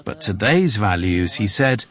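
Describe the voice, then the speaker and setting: A man delivering a scripted radio news report as a correspondent, in a measured, even manner. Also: fairly low pitch, a crowd in the background.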